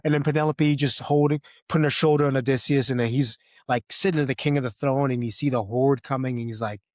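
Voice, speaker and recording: A man's voice: mid-range voice, steady tone, fast talker, darkish tone, dry recording, clean studio sound. A man co-hosting a podcast, chatting casually and playfully about film in an informal way. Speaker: podcast host.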